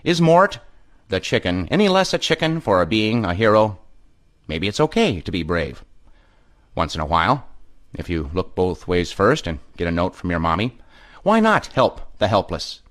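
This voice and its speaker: An actor playing a timid, earnest cartoon chicken who gives mock editorials in a measured, pompous way. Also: male voice, sits low, sing-song, a bit bright.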